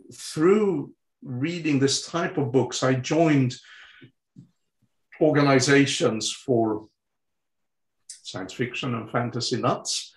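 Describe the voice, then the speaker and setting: Slow, hesitant older man in a roomy space. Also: medium pitch. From Sweden. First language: French. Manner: hesitant, many fillers and restarts. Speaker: guest. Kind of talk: conversational interview answers. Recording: roomy echo.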